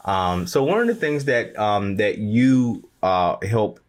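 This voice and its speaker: A man hosts a casual interview in a very low, deep voice. He asks long, meandering questions that are broken up by ums and restarts. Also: expressive. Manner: halting, lots of ums and restarts. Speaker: interview host.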